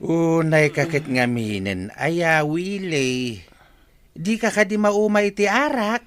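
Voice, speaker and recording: A man's voice: a little high, lively intonation, slow, a bit bright, slight background noise. A man with a northern Philippine accent playing an elderly village father who frets and admonishes in a comedy radio drama. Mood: scolding, exasperated. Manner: scolding, advising.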